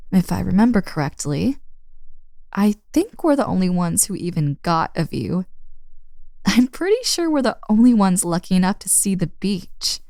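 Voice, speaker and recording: A woman's voice: mid-range voice, creaky, warm tone, no echo, clean studio sound. A woman playing a friend's friendly, teasing older sister in a casual, intimate roleplay audio drama.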